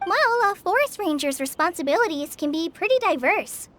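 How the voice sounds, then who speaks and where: A woman's voice: very high-pitched, lively intonation, moderate pace, fairly bright tone, slight background noise. A woman voicing an earnest, eager young trainee forest ranger in a video game, with a light youthful voice. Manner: earnest, helpful, explanatory. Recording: music behind the voice.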